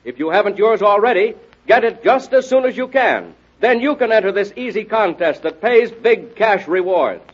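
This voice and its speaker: High-pitched emphatic vintage radio announcer man. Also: lively intonation, normal speed, few pauses. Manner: emphatic, exhortative. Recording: muffled vintage radio broadcast recording.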